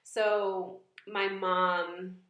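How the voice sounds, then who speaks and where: A young woman from New England talking thoughtfully in a casual vlog, pausing and restarting often. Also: mid-range voice, little pitch movement, fairly bright tone. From New England, United States. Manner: thoughtful, hesitant, many fillers and restarts. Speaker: vlogger. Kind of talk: casual reflective vlog talk. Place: home room. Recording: echoey room.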